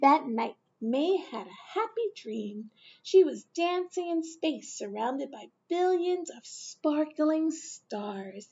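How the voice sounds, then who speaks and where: A woman teacher reading a picture book aloud to children, gentle and slow, with questions to her listeners. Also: high voice, expressive, highs cut off.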